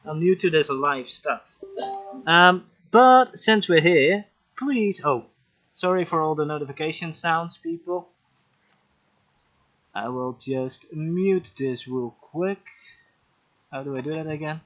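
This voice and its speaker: A man's voice: on the high side, expressive, slow, long pauses, a bit bright. A man hosting a casual live stream on a video call, chatty and joking while fighting technical trouble. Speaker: host. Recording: slightly dull lo-fi stream audio.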